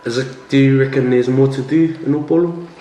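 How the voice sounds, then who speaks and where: A young man joking around in a relaxed couple's vlog chat at home. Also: medium pitch, brisk, recorded from a distance. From New Zealand. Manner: laid-back, jokey, says bro a lot. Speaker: vlogger. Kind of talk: casual chat while eating. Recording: echoey room, a bit off-mic, faint hiss.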